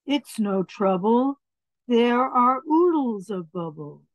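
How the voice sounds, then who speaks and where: A woman reading children's picture books aloud in an expressive way, adding asides about the pictures. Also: on the high side, slow, dry recording, rough recording. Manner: expressive, acts out words and dialogue.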